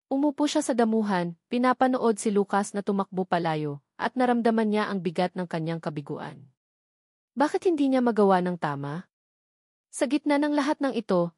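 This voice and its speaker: A woman's voice: mid-range voice, darkish tone, dry recording, studio quality. A woman reading a scripted dramatic story as a storyteller.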